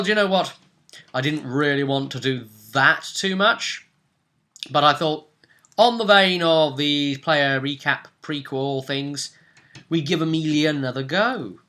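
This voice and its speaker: A man's voice: mid-range voice, bright, forceful tone. A man hosting a casual, playful tabletop role-play recap in a jokey, hammy way. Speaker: host and game master recapping a tabletop role-play story. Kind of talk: casual, jokey story recap for a video audience.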